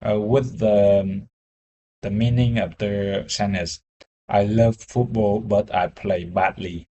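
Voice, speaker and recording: Slow, hesitant Vietnamese-accented man. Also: fairly low pitch, fairly even intonation, warm tone. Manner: hesitant, searching for words, restarts often. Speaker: English learner. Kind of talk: conversation practice.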